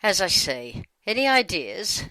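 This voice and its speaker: A woman's voice: on the low side, very animated, measured pace, bright, forceful tone, no echo, rough recording. A woman reading her side of a channelled dialogue in an informal, wry, conversational way. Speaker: channeller putting questions to spirit beings.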